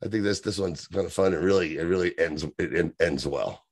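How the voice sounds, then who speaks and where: A man's voice: low-pitched, brisk, breathy edge, dry recording. A man co-hosting a podcast, chatting casually and laughing often as he reads aloud. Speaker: podcast co-host. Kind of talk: casual chat.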